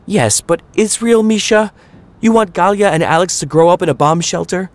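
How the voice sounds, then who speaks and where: A man's voice: steady tone, moderate pace. Give me a furious, fed-up mother, voiced by a man who rants shrilly. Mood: pleading. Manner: shrill, exasperated ranting. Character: furious overwhelmed mother of two, woman.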